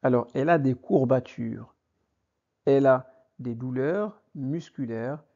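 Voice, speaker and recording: A man teaching a vocabulary lesson, speaking deliberately and repeating each phrase for learners. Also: mid-range voice, dark tone. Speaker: French teacher. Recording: dull lo-fi recording.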